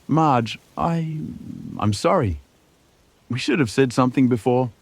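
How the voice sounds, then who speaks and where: An anxious young fantasy hero, acted by a man. The pitch sits raised and moves freely, with an urgent, earnest, protective delivery in a very dry close recording. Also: animated, a little slow, no room sound. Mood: apologetic.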